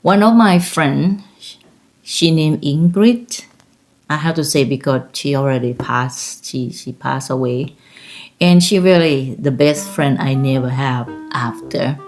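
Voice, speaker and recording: A woman's voice: rumbling low, slow, a little mellow, faint music behind. A woman in her fifties from Thailand with a Thai accent, telling personal stories in a rambling, laughing way. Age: 50s. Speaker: vlogger. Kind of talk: casual personal storytelling.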